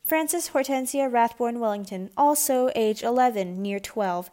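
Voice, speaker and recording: A woman's voice: fairly high pitch, lively intonation, unhurried, mellow, voice pushed up. A woman voicing a snobbish, spiteful eleven-year-old girl in a children's audiobook, with a haughty, sneering manner. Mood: boastful. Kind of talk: children's audiobook character dialogue.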